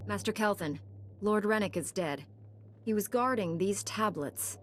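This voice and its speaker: A woman playing a composed, resolute knight heroine in a video game, calm and direct. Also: mid-range voice, a little slow, slight background noise, natural voice. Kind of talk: video game cutscene acting.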